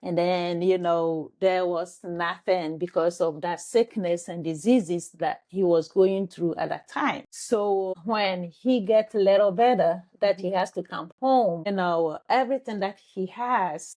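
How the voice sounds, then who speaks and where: A woman with a Ghanaian accent tells her own story as an interview guest. She talks slowly and earnestly, with a warm, mellow tone. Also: lowish voice. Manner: earnest, grateful, slow and halting.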